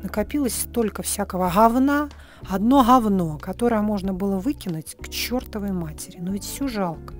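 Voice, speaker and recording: Slightly hoarse woman vlogging on a phone. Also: mid-range voice, lively intonation, moderate pace, soft background music. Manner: chatty, frank, playfully crude, drops to whispery asides. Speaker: vlogger, psychologist. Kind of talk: casual travel vlog, room tour. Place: hotel and city streets in and out of doors.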